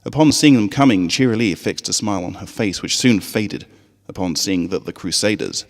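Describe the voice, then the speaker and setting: A man narrating a scripted story in an expressive, dramatic way. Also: low-pitched, normal speed, big-room sound. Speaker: fan fiction reader.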